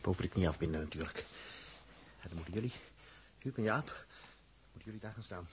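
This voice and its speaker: An actor playing a gruff, determined man, impatient and blunt, in a 1960s radio drama. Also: low voice, brisk, slight background noise, lightly acted. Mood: bitter, resolute. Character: gruff, hot-headed, determined man taking charge.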